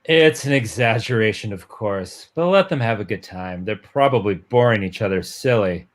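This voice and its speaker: A man's voice: on the low side, animated, moderate pace, natural voice. A man acting a devoted, earnest young boyfriend who woos his girl in an amateur dramatic reading of a romance comic. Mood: wry.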